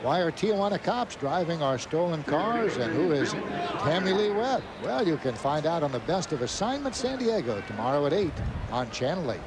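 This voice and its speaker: An American man with a somewhat high-pitched voice talks as a baseball co-commentator. His delivery is conversational and a little wry. Distinct outdoor ballpark noise runs behind him. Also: very animated, normal speed. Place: open-air ballpark broadcast booth. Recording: noisy outdoor ballpark broadcast.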